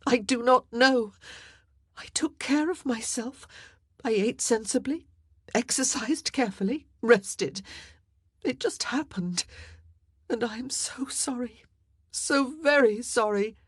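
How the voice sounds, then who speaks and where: A woman's voice: on the high side, slow pace, long pauses, breathy edge, dry recording, clean studio sound, pitched above the performer's own voice. A woman voicing a proud, anxious young queen who pleads and argues back sharply. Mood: pleading. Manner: pleading, then defiant and sharp.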